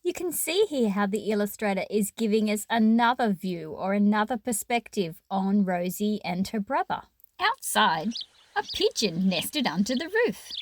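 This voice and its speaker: A woman's voice: mid-range voice, very animated, normal speed, dry recording. A woman reading a children's picture book aloud expressively, with explanatory asides to young listeners.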